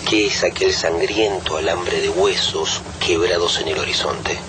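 A low-voiced man as a mournful war-poem reciter in a radio drama. He goes slowly, breathy and sometimes half-whispered, over clear background noise. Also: steady tone.

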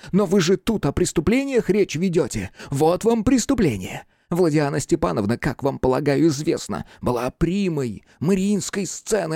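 A man's voice: on the high side, creaky, dark tone, no room sound, studio quality, voice pushed up. A man voicing an anguished, bitter hunchbacked male teacher in an audiobook, fervent and defiant, with breathless indignation. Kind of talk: dramatic audiobook character reading.

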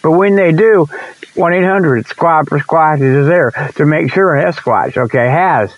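A high-pitched man delivers a rambling comedic radio monologue. He talks in a folksy, repetitive manner, as host of his own segment. The sound is dull and lo-fi. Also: measured pace, no echo. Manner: folksy, repetitive, frequent okay and you know. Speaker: host of a comic report segment.

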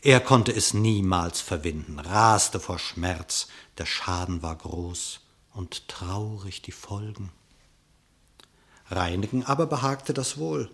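A man narrating a classic radio play in verse, in an expressive, declamatory storytelling manner. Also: low-pitched, takes it slowly.

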